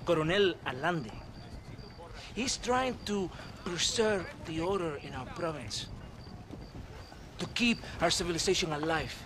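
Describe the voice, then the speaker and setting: An actor voicing a sly, theatrical Mexican army captain with a Mexican Spanish accent, who swings between mocking laughter and hushed, mournful confiding. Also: male voice, on the high side, animated, a little slow, long pauses. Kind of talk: video game cutscene acting. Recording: noisy outdoor game soundscape.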